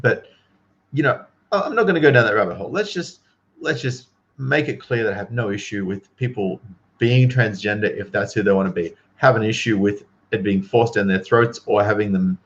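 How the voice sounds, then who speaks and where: A man's voice: on the low side, a little fast, highs cut off. A man giving casual, opinionated commentary to livestream viewers in an incredulous, emphatic, slangy manner.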